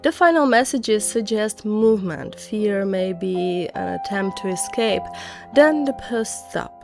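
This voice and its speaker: A woman's voice: medium pitch, expressive, slow, creaky. A woman narrating scary stories conversationally as host, dropping dramatic short phrases and wry personal reactions. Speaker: narrator and host of horror legend videos. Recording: music bed under voice. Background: background music.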